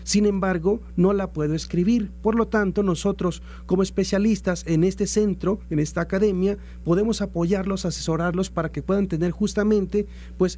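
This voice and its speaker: A man's voice: sits high, mellow, slight background noise. A Mexican man giving formal, earnest explanations as an institutional guest in a radio interview, often repeating phrases as he goes. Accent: Mexican Spanish. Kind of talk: formal interview answer about institutional plans.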